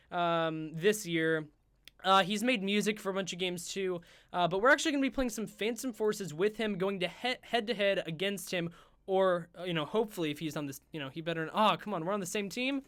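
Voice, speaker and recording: A young man hosting a gaming livestream, rambling with lots of fillers and going hoarse. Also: high-pitched, fairly bright tone. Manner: rambling, filler-heavy, self-interrupting. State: losing his voice. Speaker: host. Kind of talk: casual livestream chat while gaming.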